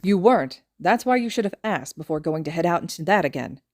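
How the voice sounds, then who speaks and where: A woman's voice: sits low, animated, quick pace, warm tone, dry recording, studio quality. A woman voicing an irritated, weary older man, a retired hero, in an audiobook-style story reading, insistent and exasperated.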